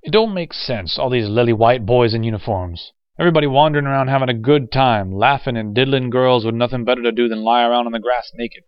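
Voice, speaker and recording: A man's voice: mid-range voice, fast talker, few pauses, clear, resonant tone, no room sound, muffled recording, pitched above the performer's own voice, close to an everyday voice. A man voicing a bitter, hateful, frail old war veteran in an audiobook, wheezing, snapping and complaining. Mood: sullen complaining. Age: elderly. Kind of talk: audiobook character acting.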